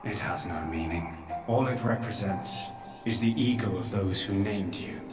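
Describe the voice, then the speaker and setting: A man's voice: low voice, normal speed, natural voice. A man playing a weary, resigned old godlike creator in a dramatic video game, solemn and detached. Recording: echoey, distant, music under voice.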